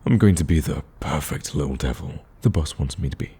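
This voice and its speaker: Man acting a very deep, husky smitten villain. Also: expressive, brisk, warm tone, full character voice. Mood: earnest, reassuring. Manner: casual, conversational, stammers when flustered. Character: smitten male villain, eager, flustered boyfriend on a date. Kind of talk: romantic ASMR roleplay.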